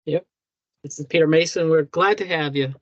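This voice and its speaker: A man's voice: on the high side, moderate pace, a bit bright, no echo. An older man informally introducing colleagues in an online meeting as a senior official. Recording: dull, lo-fi video call audio.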